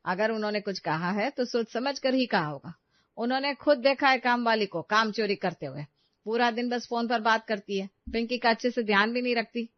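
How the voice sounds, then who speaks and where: A woman's voice: a little high, normal speed, no echo, muffled recording, close to an everyday voice. A woman voicing a stubborn, disapproving older mother-in-law in a cartoon story. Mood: defensive.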